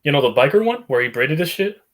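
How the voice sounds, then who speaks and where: A man's voice: fairly high pitch, sing-song, fast talker. A man from Texas chatting casually on a stream, rambling, joking and swearing. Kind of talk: casual chat.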